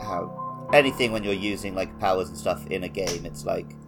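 Male podcast co-host, fairly low pitch, casual conversational read over faint music. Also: steady tone, moderate pace, rough recording. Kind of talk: casual video game discussion.

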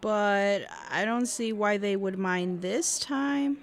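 A woman's voice: mid-range voice, takes it slowly, natural voice. A woman playing an awkward, guarded seventeen-year-old girl with a hesitant, self-conscious manner in a fiction podcast. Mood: uneasy. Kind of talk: audio fiction podcast acting.

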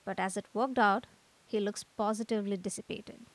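A woman's voice: mid-range voice, steady tone, a little fast, dry recording, natural voice. Woman as a plaintive young painter, dry recording. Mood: defensive, rueful. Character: earnest, put-upon, despairing young male painter. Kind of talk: comic radio drama acting.

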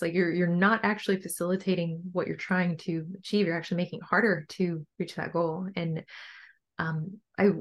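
A young adult woman with a low voice, talking casually and earnestly as a coach being interviewed on a podcast. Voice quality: low-pitched voice. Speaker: bodybuilding coach and podcast guest.

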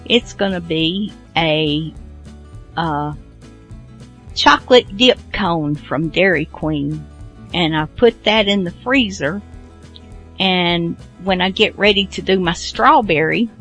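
A woman's voice: lowish voice, fairly bright tone. A woman hosting a radio show, chatting casually in a rambling, self-deprecating way as she introduces programs.

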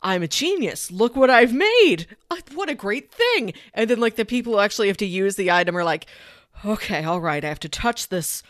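A woman's voice: mid-range voice, sing-song, a little fast, no room sound, studio quality. A woman co-hosting a podcast in casual chat, explaining things informally. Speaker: podcast co-host.

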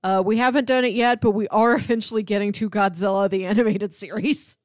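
A woman's voice: medium pitch, fairly even intonation, a little fast, no echo, studio quality. A woman co-hosting a film and television podcast, talking in a casual, conversational style. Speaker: podcast co-host.

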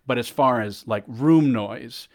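A man with a bassy voice chatting casually on a podcast, rambling with restarts, recorded on a headset mic. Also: slow. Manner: rambling, frequent restarts and fillers. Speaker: podcast co-host and event tech guest. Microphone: over-the-ear headset mic.

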